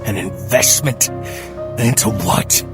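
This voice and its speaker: An actor playing a gruff, wary criminal enforcer in an audio drama, blunt and suspicious in manner. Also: male voice, lowish voice, takes it slowly, over music.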